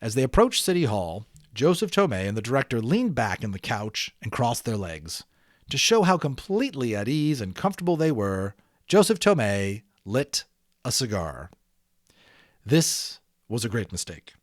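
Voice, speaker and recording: A man's voice: mid-range voice, sing-song, moderate pace, no room sound, clean studio sound. A man narrating a children's audiobook expressively, with dramatic emphasis and chatty asides to listeners.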